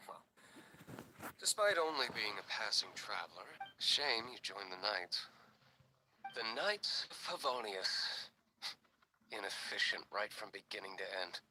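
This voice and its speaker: Stern young tavern boss in a video game, very crisp sharp edge, cool and guarded, lively intonation. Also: male voice, lowish voice, unhurried, pauses often, a little hiss or hum, exaggerated voice, poor audio. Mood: disdainful. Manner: curt, cool, formal.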